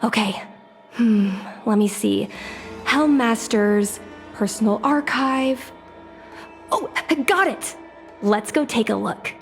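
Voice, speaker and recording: A curious, determined young woman in a video game. Her voice is higher than most and she takes her time, speaking earnestly and carefully. Music plays behind her. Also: slow, gravelly, long pauses. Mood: eager, curious. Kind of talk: video game voice acting, English dub.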